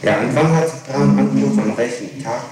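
A man's voice: medium pitch, loud music behind the voice. Methodical male interrogator on echoey tape. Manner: methodical, deliberate, halting. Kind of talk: questioning, restating a statement. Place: echoing room, archival tape recording. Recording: echoey old interrogation tape, room murmur.

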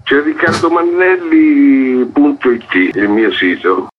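A man's voice: medium pitch, slow pace, phone-quality sound, a little hiss or hum. A man in his sixties, an artist being interviewed, talking in an opinionated, colloquial, conversational way.

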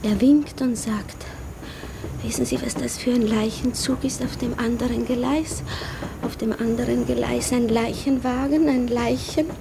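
A woman's voice: a little high, steady tone, a little slow, vocal fry. An actress playing a dreamy, yearning woman in a 1950s radio drama, speaking inwardly and often half-whispering. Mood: drowsy. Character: dreamy, yearning woman, a lonely piano teacher. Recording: noisy 1950s radio recording.

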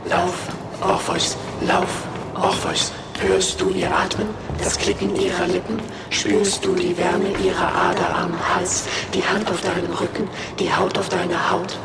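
An actor playing an eerie, taunting figure with a creaky, breathy voice in a radio drama. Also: male voice, high voice, level tone, measured pace, few pauses. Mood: eerie, insistent. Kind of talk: literary radio drama acting. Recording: voice buried in loud noise.

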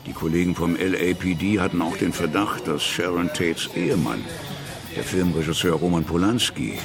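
Very deep, gravelly police detective. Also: male voice, moderate pace, music in the background. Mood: grim, matter-of-fact. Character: hard-boiled American male police detective recounting murder case. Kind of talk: radio drama testimony.

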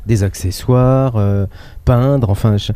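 A man hosting a travel show who prompts his guest with questions in a relaxed conversational interview, recorded outdoors in a public garden. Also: mid-range voice, slow, clear, resonant tone.

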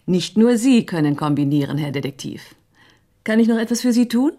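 An actress playing a cool, quick-witted company secretary in a German radio drama, composed and wryly teasing. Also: female voice, medium pitch, animated, mellow, natural voice.

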